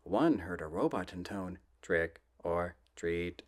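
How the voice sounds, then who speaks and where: A soft-spoken man reading children's books aloud in a gentle, playful ASMR style, often dropping close to a whisper. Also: deep voice. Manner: gentle, drawn-out, playful storybook delivery.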